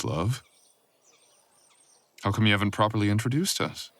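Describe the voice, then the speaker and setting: Man playing a very deep-voiced brooding supernatural boyfriend. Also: fairly bright tone, husky, exaggerated voice. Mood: guarded, possessive. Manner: intimate, teasing, drawling. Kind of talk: boyfriend ASMR audio roleplay.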